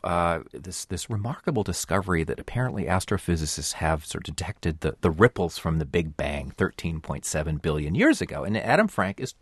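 A man's voice: on the low side, dry recording, clean studio sound. A man talking casually as a radio guest about books, thoughtful and digressive, with many fillers and restarts.

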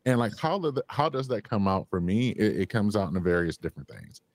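A man's voice: fairly low pitch, big pitch swings, a little fast, dry recording. A man being interviewed as a guest on a radio show, talking with enthusiasm and laughing easily.

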